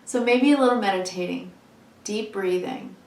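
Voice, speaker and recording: A woman giving a weekly tarot reading in a chatty, encouraging way, full of "you know"s, her voice a little hoarse from a long day of talking. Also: mid-range voice, normal speed. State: losing her voice, slightly hoarse. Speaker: tarot reader. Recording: echoey room, mic at a distance.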